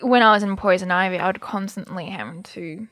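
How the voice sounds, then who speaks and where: A woman's voice: medium pitch, brisk, fairly bright tone, no echo, clean studio sound. A young woman co-hosting a podcast, telling a story in a casual way with hesitant fillers. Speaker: podcast co-host.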